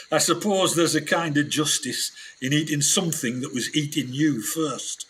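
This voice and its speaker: Cynical expedition scientist , played by a man: highish, bright, forceful voice, grumbling and quick to anger, adventure radio play. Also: a little hiss or hum. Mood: grumbling, sardonic. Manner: gruff complaining, sardonic, mild swearing. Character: grumbling, cynical, hot-headed research scientist on jungle expedition.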